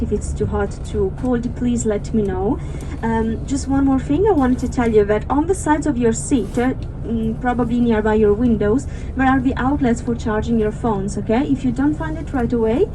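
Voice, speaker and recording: A woman from Ukraine with an Italian accent, working as a tour guide and explaining sights to passengers over the bus microphone with frequent fillers. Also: on the high side. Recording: noisy bus interior. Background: engine and road noise.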